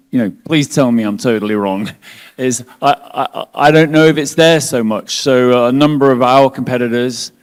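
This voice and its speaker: A man on a finance panel, talking in a halting way with many restarts and a self-deprecating touch. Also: mid-range voice, a little mellow, long reverb tail. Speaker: lender panelist. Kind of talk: panel discussion.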